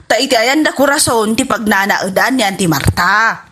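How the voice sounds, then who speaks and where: A woman's voice: on the high side, lively intonation, normal speed, a bit bright, slightly rough. A woman with an Ilocano accent playing a gossipy, spiteful neighbor in a radio comedy drama. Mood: gossipy, indignant.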